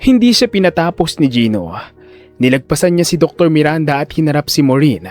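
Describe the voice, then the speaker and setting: A man's voice: a little high, expressive, fairly quick, a little mellow, soft background music. A man giving dramatic scripted narration for an audio story.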